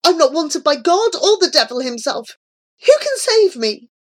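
Desperate storybook boy, very high voice, anguished, pleading, remorseful, played by a woman. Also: very animated, moderate pace. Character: desperate, remorseful boy cursed to fiddle and dance.

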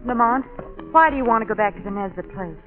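An actress playing a curious, quick-witted woman companion in a 1940s radio drama, speaking in a brisk, lightly joking way. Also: on the high side, expressive, close to an everyday voice. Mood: lively. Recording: narrow old radio broadcast with music.

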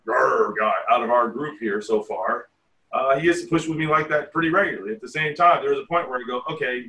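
A man in his fifties leads a group meeting as a tai chi teacher. He talks in a loose, rambling, blunt way. His voice is middle-pitched and sounds a little distant from the mic. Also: fairly bright tone. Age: 50s. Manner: rambling, frank, self-deprecating asides. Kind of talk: casual group meeting talk.

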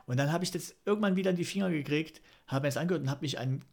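A man's voice: fairly high pitch, rapid-fire, creaky, darkish tone. A middle-aged man chatting casually as a podcast co-host, often ending statements with a little questioning tag. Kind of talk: casual chat.

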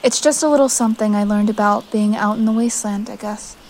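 A woman's voice: medium pitch, steady tone, fast talker, darkish tone, slight background noise, lightly acted. An actress playing a resolute young female exile in an audio drama, earnest and emotional, tender but firm. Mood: gentle resolve.

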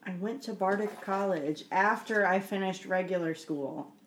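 A woman's voice: medium pitch, normal speed, reverberant, a little hiss or hum, poor audio. A woman playing a tabletop roleplaying game, speaking firmly and insistently, partly in character as her bard. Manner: firm, insistent. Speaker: player.

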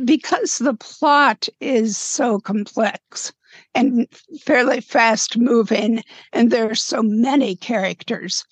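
A slightly hoarse woman talking thoughtfully as a panelist in a casual film discussion. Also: a little high, no room sound. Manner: thoughtful, musing, with small laughs. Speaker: podcast panelist.

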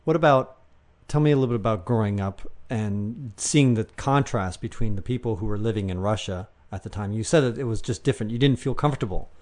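A man's voice: on the low side, a little fast, few pauses, darkish tone. A man interviewing a guest, asking curious, prompting follow-up questions in a conversational way.

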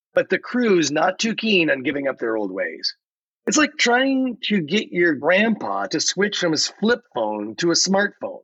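A man playing a chatty AI podcast guest with a playful, theatrical, salesy delivery and dramatic pauses. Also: on the high side, pushed and bright, breathy edge, exaggerated voice. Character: chatty, theatrical AI chatbot guest with pirate flair. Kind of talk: scripted podcast answers full of metaphors. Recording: slightly roomy, dull lo-fi audio.

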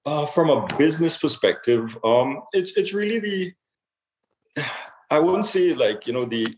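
A man from Trinidad and Tobago answering interview questions conversationally as a store owner, haltingly, repeating words as he searches for phrasing. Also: a little high, lively intonation, darkish tone, a little hiss or hum, low-quality recording.

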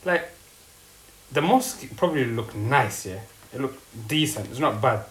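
A man's voice: mid-range voice, lively intonation, unhurried, fairly bright tone. A young man from Ethiopia, co-hosting a casual chat in a blunt, teasing way with street slang. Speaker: podcast co-host. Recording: echoey room with faint noise.

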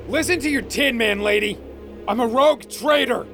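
A man doing a brash space pirate, very high strained voice, gravelly edge, lively swings, defiant yelling, music and engine noise. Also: normal speed. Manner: defiant, shouting and cursing.

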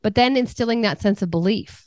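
A woman's voice: mid-range voice, brisk, dry recording. A woman business coach answering interview questions in an earnest, conversational way, often repeating a word as she starts a thought.

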